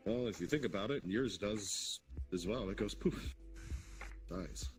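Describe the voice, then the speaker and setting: A man's voice: fairly low pitch, lively intonation, measured pace. A man voicing a demon king who explains patiently and bluntly, sometimes swearing, in a roleplay. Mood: calm, explaining. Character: demon king, ancient creator of monsters. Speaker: roleplay player. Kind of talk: in-character roleplay dialogue. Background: background music.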